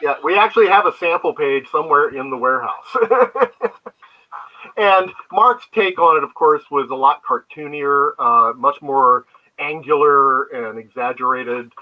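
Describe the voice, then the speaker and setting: A man's voice: fairly high pitch, measured pace, bright, forceful tone, poor audio. An older man, a guest comics creator, telling rambling anecdotes in a casual interview.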